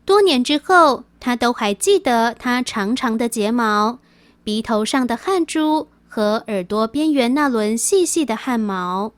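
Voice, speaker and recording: A woman reads scripted sentences aloud for a quiz. Her voice is on the high side, and the recording is close and dry with no room sound. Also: expressive, measured pace, no echo. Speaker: question reader.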